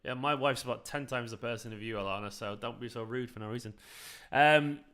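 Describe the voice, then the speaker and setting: Young South Manchester man, bright forward voice, rambling chatty fan-stream host. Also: medium pitch, fairly quick, clean tone. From South Manchester, England. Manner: chatty, rambling, talks to viewers. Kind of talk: live football watchalong chat.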